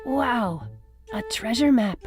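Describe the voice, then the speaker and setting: A woman voicing an eager, excitable boy sheep in a children's storybook, exclaiming with enthusiasm. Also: a little high, takes it slowly, over music. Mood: excited. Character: eager, excitable male cartoon sheep on treasure hunt.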